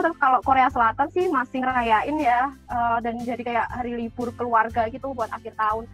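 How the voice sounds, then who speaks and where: A woman in her twenties from East Jakarta, giving casual interview answers and musing out loud without much certainty. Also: a little high. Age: 20s.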